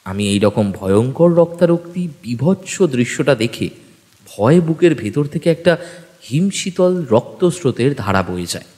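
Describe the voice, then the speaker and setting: A male narrator reads a detective story dramatically. His mid-pitched voice moves widely up and down, set in a long hall-like reverb. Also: very animated, normal speed, warm tone. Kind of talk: dramatic scripted first-person narration.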